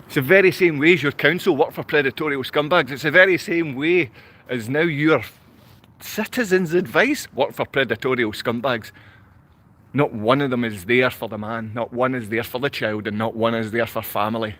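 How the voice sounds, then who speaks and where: A man's voice: medium pitch, moderate pace, fairly bright tone. A man from Glasgow with a Glaswegian Scottish accent, talking unscripted to livestream viewers in a rambling, repetitive way full of rhetorical questions. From Glasgow, Scotland.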